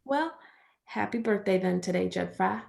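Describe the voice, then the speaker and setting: An American woman playing a gentle, chatty rural girl in a script reading, with folksy phrasing and a playful, comforting manner. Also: medium pitch, normal speed, creaky. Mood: friendly.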